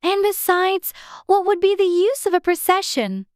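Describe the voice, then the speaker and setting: A woman's voice: very high-pitched, expressive, moderate pace, no echo, clean studio sound. A woman playing a polite, curious, plucky little girl in a dramatized storybook. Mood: doubtful.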